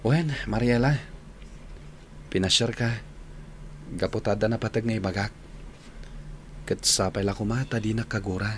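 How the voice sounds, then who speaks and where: A man's voice: on the low side, normal speed, long pauses, a little hiss or hum, close to an everyday voice. A man playing a polite, nervous young suitor in a radio drama, with a Filipino accent and an earnest, hesitant manner.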